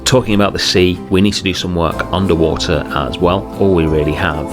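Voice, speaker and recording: A young man hosting his game channel, calmly talking through a build in an easygoing way with the odd um and uh. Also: low voice, brisk. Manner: easygoing, steady, with frequent ums and uhs. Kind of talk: relaxed build commentary over gameplay. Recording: music playing underneath.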